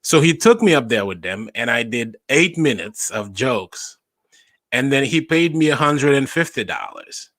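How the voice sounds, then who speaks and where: A young adult man from Haiti, a stand-up comedian telling his story in a casual interview, with animated emphasis. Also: medium pitch, moderate pace, no room sound.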